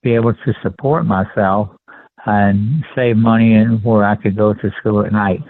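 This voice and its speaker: Elderly Texan man, low voice, phone-line sound. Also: steady tone, no room sound. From rural Texas, USA. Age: 70s. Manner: halting, deliberate, polite. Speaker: interview guest. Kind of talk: conversational personal recollection.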